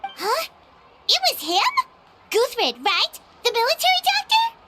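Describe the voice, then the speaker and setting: A woman voicing a tiny, childlike fairy companion in a video game, squeaky, excitable and inquisitive. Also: takes it slowly, very noisy, heavily acted. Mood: surprised, curious.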